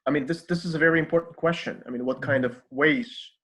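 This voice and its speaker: A man's voice: fairly high pitch, fairly even intonation, quick pace, a bit bright. A middle-aged man speaking as a podcast guest and teacher, explaining in an emphatic, conversational way with repeated phrases.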